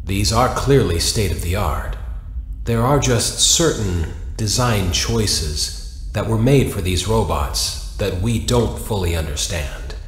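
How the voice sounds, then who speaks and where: A man playing a polite, reserved businessman in a video game, speaking in a formal, measured and probing way. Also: lowish voice, lively intonation, slight background noise. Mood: politely probing. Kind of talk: scripted video game voice acting. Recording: echoey hall-like reverb.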